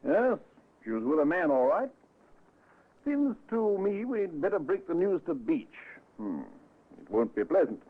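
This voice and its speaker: An actor playing a measured, methodical police inspector in an old radio drama, dry and official with careful pauses. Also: male voice, high-pitched, sing-song, full character voice. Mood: sombre, probing. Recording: muffled, lo-fi old radio recording.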